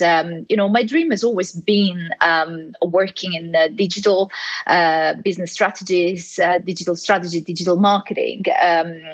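A woman in her forties with an Italian accent, talking casually as a podcast guest, with many fillers and restarts. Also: on the low side. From Italy. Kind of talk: casual interview conversation.

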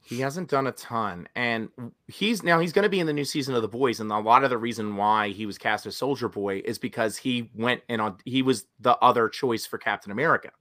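A man co-hosting a podcast, still raspy from illness, chatting animatedly with many restarts. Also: medium pitch, fairly quick, hardly any pauses, fairly bright tone. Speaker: podcast co-host. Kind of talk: casual chat.

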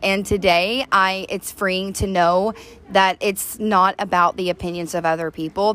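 A woman with a deep voice, co-hosting a faith-based recovery podcast, talking earnestly and heartfelt to listeners, recorded outdoors on a back deck. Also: a bit bright. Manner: earnest, heartfelt, addresses listeners as "you guys". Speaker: podcast co-host.

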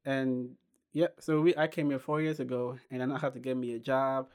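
A young man from Ghana who founded a clothing brand, telling his story unhurriedly as a podcast guest, with pauses and restarts. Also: mid-range voice, level tone, a little mellow, clean tone. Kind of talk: casual interview chat.

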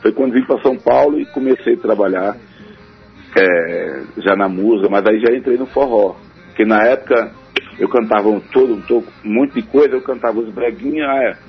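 A man's voice: on the low side, fairly quick, slightly rough. A man, a forró singer guest answering in a radio interview in a rambling, hesitant way full of fillers. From Northeast Brazil. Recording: dull radio broadcast, faint music.

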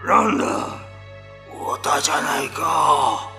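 A man playing a menacing, suspicious demon brother in a radio drama, with a growling, gravelly voice. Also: on the high side, animated, slow pace, pushed and bright, voice pushed up, heavily acted. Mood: gruffly welcoming. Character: menacing man-eating demon, long-lost older brother. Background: background music.

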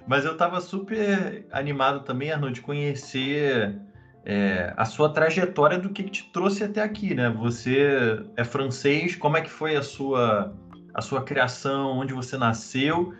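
A man's voice: mid-range voice, animated, unhurried, fairly bright tone, faint music behind. A man hosting a podcast interview, asking a guest friendly, encouraging questions. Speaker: podcast host.

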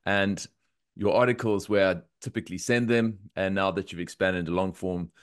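A man's voice: low voice, steady tone, normal speed, clear, resonant tone. A man hosting a podcast interview, upbeat and enthusiastic, sniffly from a cold. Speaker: podcast host.

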